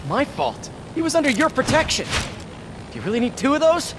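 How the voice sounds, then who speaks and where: An actor playing an indignant young superhero in a video game, incredulous and sarcastic. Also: male voice, extremely high pitch, huge pitch swings, fairly quick, background noise, full character voice. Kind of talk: dramatic video game acting.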